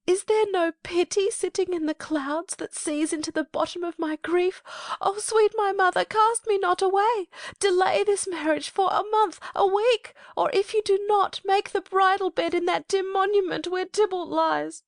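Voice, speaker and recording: A woman's voice: very high-pitched, fairly even intonation, moderate pace, few pauses, dry recording, clean studio sound. A woman playing an anguished, passionate teenage noble girl in an impassioned Shakespearean dramatic reading. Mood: desperate, pleading. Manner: pleading, impassioned.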